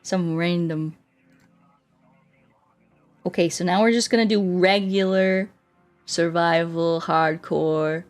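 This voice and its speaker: A woman's voice: fairly low pitch, steady tone, slow, pauses often, faint music behind. A woman doing casual, playful game livestream commentary, chatting to viewers and often repeating her words and phrases.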